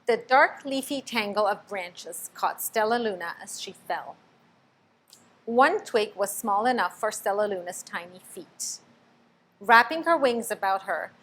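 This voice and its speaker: A woman reading a children's picture book aloud, expressive, stopping to ask the listener questions. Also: mid-range voice, a little hiss or hum, low-quality recording. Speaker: storybook reader.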